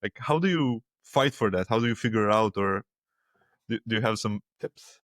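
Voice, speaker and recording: A man's voice: on the low side, moderate pace, fairly bright tone, dry recording, clean studio sound. A man hosting a podcast interview, talking loosely and conversationally with many fillers. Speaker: podcast host. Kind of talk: casual interview chat.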